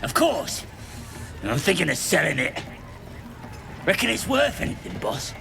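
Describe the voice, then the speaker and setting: A man playing a dim, chatty goblin looter in a video game, with a croaky voice and an English working-class accent. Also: high voice, very animated, a little slow, over music, exaggerated voice. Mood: cheeky, showy. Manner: chatty, uneducated. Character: dim, cheeky goblin looter with a secret soft side. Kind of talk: video game character acting.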